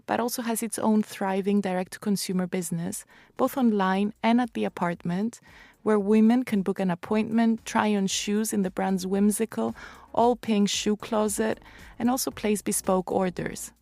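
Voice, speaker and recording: A woman radio host with a measured, polished delivery. She reads a scripted introduction and moves on to interview questions. Her voice sits at a middling pitch and the sound is close and dry. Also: fairly even intonation, creaky, warm tone, no room sound. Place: live podcast recording in a showroom apartment.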